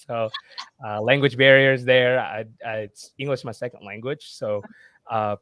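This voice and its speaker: A man's voice: mid-range voice, steady tone, measured pace, fairly bright tone, no echo. A man in his twenties from Indonesia, English his second language, chatting casually as a podcast guest with many fillers and restarts. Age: 20s. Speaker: guest, marketing agency owner.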